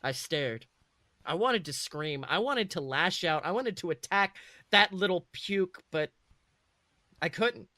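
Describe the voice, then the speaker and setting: A man plays an eleven-year-old boy wizard telling his own story. The voice is high and bright, with a dry, close sound. He is chatty, proud and excitable, often correcting himself mid-thought. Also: slow, no room sound, heavily acted. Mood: bitter. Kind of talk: first-person dramatic storytelling.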